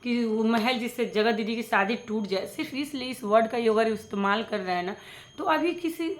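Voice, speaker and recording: A woman's voice: medium pitch, a bit bright. A woman, talking casually and emphatically to viewers in an opinionated reaction vlog, repeating points and mixing in English words. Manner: emphatic, repetitive, direct address with English words mixed in. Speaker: reaction video creator addressing viewers.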